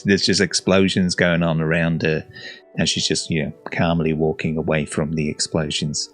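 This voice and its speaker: Deep-voiced man casually reacting to games. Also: fairly bright tone. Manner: rambling, easygoing, chuckling. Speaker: host reacting to game trailers.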